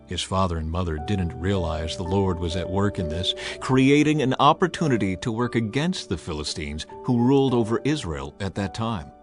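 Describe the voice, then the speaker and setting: A man narrating a dramatized scripture audiobook as an expressive storyteller. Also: low-pitched, moderate pace, few pauses. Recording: music behind the voice. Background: music.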